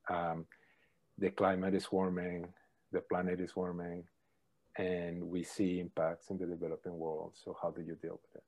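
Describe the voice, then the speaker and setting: A middle-aged man, a professor answering interview questions thoughtfully, pausing often with ums. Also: low voice, a little mellow. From Colombia. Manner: thoughtful, hesitant with many ums. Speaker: professor, podcast guest.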